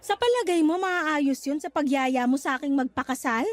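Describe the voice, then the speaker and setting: A woman's voice: high voice, lively intonation, moderate pace. An actress playing a weary, curt young mother in a Tagalog radio drama, exasperated with her partner. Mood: confused, exasperated. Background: music bed.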